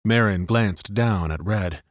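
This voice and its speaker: A low male voice reads scripted fiction as an audiobook narrator. The recording is very dry and close, like a studio microphone, with the story told in third person. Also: slow pace, no echo.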